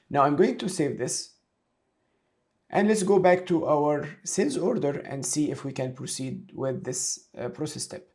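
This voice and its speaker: A man giving a step-by-step software tutorial, explaining each step methodically in a didactic way. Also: a little high. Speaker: software instructor. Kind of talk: step-by-step tutorial narration of a screen demonstration.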